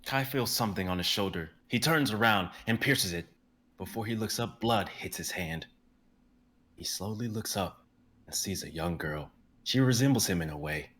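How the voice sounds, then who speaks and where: A young man narrating the stage directions of a dramatic reading in a steady, descriptive way. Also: on the low side, moderate pace, a little hiss or hum. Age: young adult.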